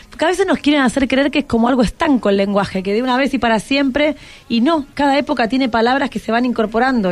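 An Argentine woman hosting an informal radio interview, chatty and encouraging, often thinking aloud. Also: a little high. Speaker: radio host interviewing students. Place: radio broadcast at a microphone.

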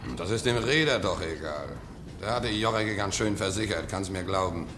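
A man's voice: low voice, moderate pace, fairly bright tone. A man playing a stolid, fatalistic ship stoker in a German radio play, laconic and colloquial with dry irony. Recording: faint background noise on radio recording.

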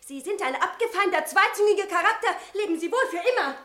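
An actress playing a hot-tempered, headstrong young sister in a German radio drama, impetuous and full of scorn. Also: female voice, extremely high pitch, expressive, fast talker. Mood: furious, scornful. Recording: roomy echo, faint noise.

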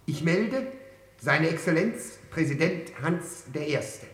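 An actor playing a fussy, formal master of ceremonies in a satirical German radio play, announcing and giving clipped commands. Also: male voice, a little high, animated, slow pace, very noisy. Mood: officious, commanding. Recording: echoey room with loud music.

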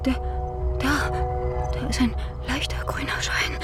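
Eine Frau spielt in einem Krimi-Hörspiel eine ängstliche, stockend sprechende Frau. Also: female voice, high voice, steady tone, whispered, loud music behind the voice. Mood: frightened, disoriented. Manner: halting, stammering, breathy agitation. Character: anxious actress and frightened mother, waking in the dark. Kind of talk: German radio crime drama acting.

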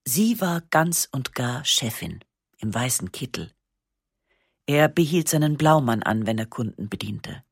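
A woman narrates a memoir for radio in a calm, measured way, her voice slightly husky. The studio sound is close and dry, with no room around it, and her pauses are slow and matter-of-fact. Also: extremely low pitch, no echo. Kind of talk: literary first-person narration, radio reading.

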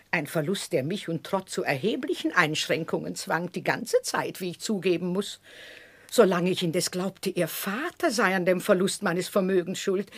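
An actress playing a formidable, blunt older aunt in a radio drama, speaking emphatically. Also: female voice, lowish voice, breathy edge. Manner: emphatic, forthright. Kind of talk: 1960s German radio drama acting.

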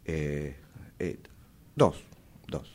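An actor in a radio theatre exercise playing a nervous, hesitant husband, stammering and repeating himself with an Argentine accent. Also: male voice, rumbling low, very slow, a little mellow, slight background noise, exaggerated voice. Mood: uneasy, hurried. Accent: Argentine, Rioplatense. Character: nervous husband haltingly confessing an old affair. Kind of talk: radio theatre workshop acting.

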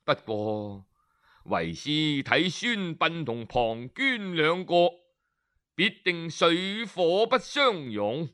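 A man voicing an old male hermit sage who gives slow, grave counsel with heavy sighs. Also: fairly high pitch, big pitch swings, a bit bright, heavily acted. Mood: grave, sorrowful.

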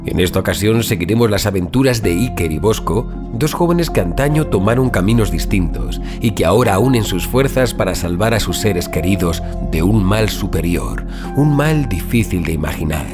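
A man's voice: on the low side, expressive. A man with a Spanish accent from Spain giving an ominous, theatrical scripted introduction as host of horror audio fiction. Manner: ominous, theatrical, deliberate emphasis. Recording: voice over loud background music.

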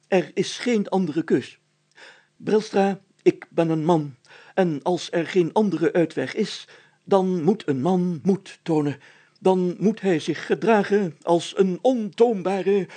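A man's voice: high-pitched, slow pace, warm tone, pitched above the performer's own voice. A man voicing a pompous, bossy village mayor in a storybook, grandly declaiming like a self-styled hero. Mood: grandly heroic. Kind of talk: storybook character voice.

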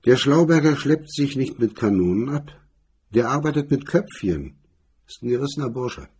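Man playing MacDonald, a husky sneering gangster. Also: medium pitch, big pitch swings, normal speed, a little mellow, pitched above the performer's own voice. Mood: nasty. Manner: sneering, slangy tough talk. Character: MacDonald, mocking, sour tough gangster man.

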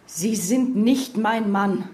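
An actress playing a frightened, haunted wife and mother in a German radio drama, tense and deliberate, defiant under pressure. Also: mid-range voice, mellow, husky. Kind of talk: German radio drama acting with inner monologue. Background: music.

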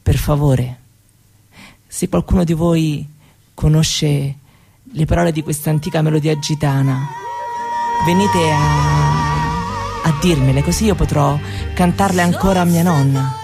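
Solemn, low-toned grieving granddaughter played by an actress. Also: female voice, steady tone, a little slow, creaky, pauses often, loud music behind the voice. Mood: pleading, tender. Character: grieving granddaughter telling of her traumatised Roma grandmother. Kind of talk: solemn theatrical monologue.

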